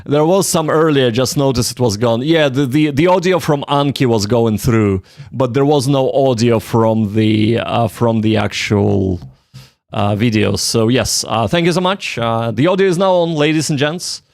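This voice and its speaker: A man's voice: medium pitch, normal speed, darkish tone, dry recording, clean studio sound. A man hosting a casual study livestream, thinking aloud in a joking, self-deprecating way. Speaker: livestream host, language learner.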